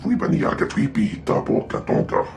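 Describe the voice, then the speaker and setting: A male actor voicing a small, twitchy, excitable alien merchant in a video game, jabbering in an invented tongue with a creaky voice. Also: normal speed, faint music behind, natural voice. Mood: excited, haggling.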